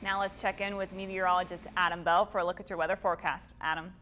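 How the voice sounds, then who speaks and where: An American woman speaks as a morning news anchor. She moves along quickly in a slightly low voice, with the tidy delivery of a broadcast handoff. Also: steady tone, a bit bright, rough recording.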